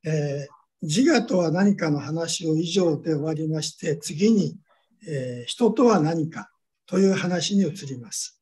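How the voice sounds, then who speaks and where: A man giving a measured academic lecture, pausing often on drawn-out fillers. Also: on the high side, lively intonation, a little mellow. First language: Japanese.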